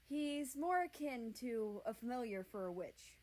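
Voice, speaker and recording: A woman voicing a friendly, considerate male healer in a fantasy storybook, explaining things gently. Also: a little high, animated, unhurried.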